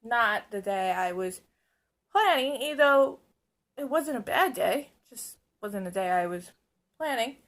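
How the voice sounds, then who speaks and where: A hoarse woman chatting casually to camera in a rambling, self-mocking way. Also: a little high, animated, a little slow, fairly bright tone. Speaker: vlogger. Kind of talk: casual vlog chat. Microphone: camera on a stand.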